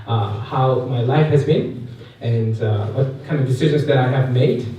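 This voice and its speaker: A man's voice: mid-range voice, normal speed, mellow, highs cut off. Man, thirties, Korean accent, chatty speaker with fillers, very echoey hall, distant mic. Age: 30s. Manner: chatty, asks the audience questions, many fillers. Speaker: guest speaker at a youth camp. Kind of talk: personal testimony talk. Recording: echoey hall, mic far off, faint noise.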